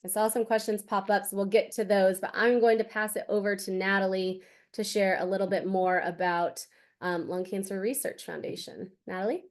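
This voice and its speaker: A woman's voice: medium pitch, fairly even intonation, moderate pace. A woman hosting a webinar, giving upbeat advice with ums and handing over to a guest. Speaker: webinar host. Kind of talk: webinar presentation.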